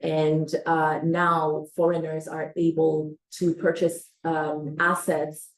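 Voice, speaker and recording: A woman with a low voice gives a webinar presentation, loose and conversational. She grew up in Switzerland and is middle-aged. She keeps pausing on fillers and restarting her phrases. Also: level tone, low-quality recording.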